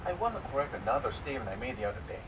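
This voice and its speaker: A man's voice: medium pitch, very animated, rapid-fire, close to an everyday voice. A man playing a defensive immigrant merchant giving formal, emphatic testimony in a dramatic reading. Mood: formal, careful. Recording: distant microphone in noisy live room.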